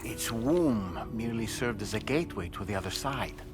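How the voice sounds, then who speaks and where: An actor playing an earnest, curious and sensitive companion who talks politely and inquisitively in a cinematic video game. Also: male voice, lowish voice, animated, moderate pace, over music, close to an everyday voice.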